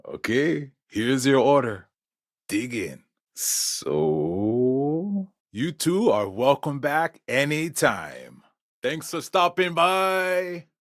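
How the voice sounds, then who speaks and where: Unhurried, lively-pitched restaurant server. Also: male voice, no room sound, clean studio sound. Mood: obliging. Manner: polite, accommodating. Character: obliging, easygoing male restaurant server. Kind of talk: video game character acting.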